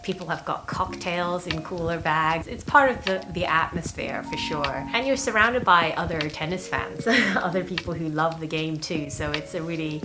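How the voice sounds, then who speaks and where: A woman from Hong Kong with a fairly deep voice hosts a personal vlog. She tells her story in a chatty, enthusiastic style, stressing her words. Prominent music plays behind her. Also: moderate pace, few pauses. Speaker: vlog host. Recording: voice over prominent background music.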